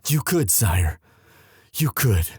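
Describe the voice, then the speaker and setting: A man playing an earnest, lovestruck young prince in an audio drama, speaking formally and haltingly as he implores his father. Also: on the low side, sing-song, dark tone, slightly rough, dry recording, clean studio sound, heavily acted. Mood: solemn, devoted. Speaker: voice actor in romance audio roleplay. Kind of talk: dramatic one-sided audio roleplay.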